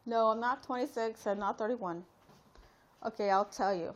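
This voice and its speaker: A woman's voice: on the high side, normal speed, poor audio. A woman in her late thirties chatting casually on a live stream, rambling and talking straight to her viewers with lots of fillers. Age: 30s. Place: at home.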